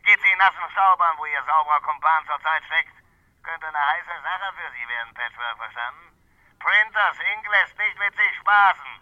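An actor playing a menacing, curt gang boss threatening someone over a telephone in an old German radio crime play. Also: male voice, on the high side, moderate pace, slight background noise. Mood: cold threat. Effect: telephone filter. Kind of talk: 1950s-70s German radio crime drama acting. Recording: dull telephone-filtered radio sound.

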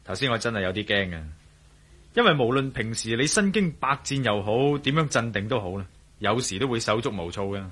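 An actor playing a shrewd, teasing adventurer in a radio drama, with a wry, colloquial manner and a Hong Kong Cantonese accent. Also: male voice, medium pitch, lively intonation, brisk, a bit bright, slightly rough. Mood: calm, reassuring. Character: shrewd, teasing male adventurer, cool under pressure.

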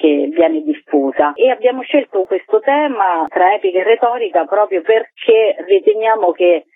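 A woman's voice: mid-range voice, expressive, moderate pace, no room sound. Woman on a tinny phone line. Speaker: theatre artist, interview guest. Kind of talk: spoken interview, explanatory. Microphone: telephone.

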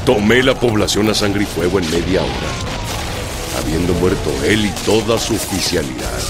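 An actor with a Mexican accent playing a forceful, decisive revolutionary commander in a radio drama. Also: male voice, low voice, animated, moderate pace. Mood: solemn. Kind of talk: Spanish-language radio drama acting. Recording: loud music and battle crowd noise behind.

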